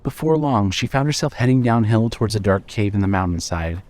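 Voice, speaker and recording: A man narrating a scripted story in a measured, suspenseful way. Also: fairly low pitch, animated, a little mellow.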